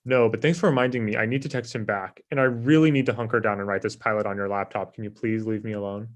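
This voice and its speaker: An actor at a comedy table read playing a lazy, self-absorbed office coworker in a casual, dismissive way. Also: male voice, on the low side, fairly even intonation, fast, lightly acted.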